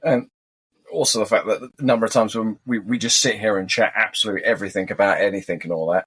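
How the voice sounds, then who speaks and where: A man co-hosting a podcast at home who talks loud and projects like an animated storyteller. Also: on the low side, fast, slight background noise, low-quality recording. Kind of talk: casual chat. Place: living room sofa at home. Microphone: shared desk microphone.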